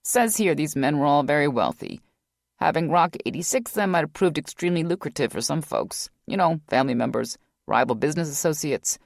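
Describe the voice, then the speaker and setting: A woman's voice: low voice, expressive, brisk, vocal fry, no room sound, clean studio sound, exaggerated voice. A woman narrator voicing a male computer specialist who speaks matter-of-factly. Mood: dry. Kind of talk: audiobook character voice.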